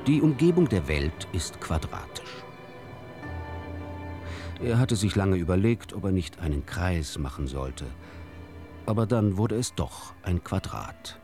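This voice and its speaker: An actor playing a wry storyteller in a musical radio play, reciting deliberately and theatrically with pointed pauses. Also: male voice, deep voice, sing-song, over music, heavily acted. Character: wry male storyteller of absurd fairy tales. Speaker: narrator.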